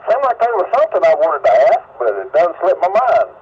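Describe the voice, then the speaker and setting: A man's voice: a little high, wildly swooping pitch, brisk, husky. An older man with a Southern US accent rambles in a folksy, casual way as an amateur radio operator. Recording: dull ham radio audio with faint noise.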